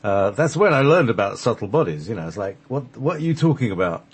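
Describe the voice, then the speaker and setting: A man's voice: lowish voice, big pitch swings, fairly quick, slightly rough. A man hosting a radio show, telling stories casually in a rambling, hesitant, jokey manner. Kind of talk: casual conversational storytelling.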